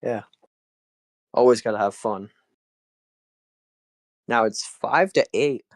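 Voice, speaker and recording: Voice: medium pitch, slow, no echo, clean studio sound. A co-commentator following a card game live in a casual way, often in short, clipped interjections. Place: apartment.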